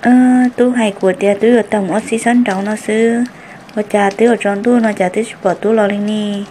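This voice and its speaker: A woman's voice: medium pitch, fairly even intonation, normal speed, warm tone, a little hiss or hum, close to an everyday voice. A woman voicing an adult woman in a folk-tale cartoon, in plain conversational speech. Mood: talking. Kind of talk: cartoon voice acting. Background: music.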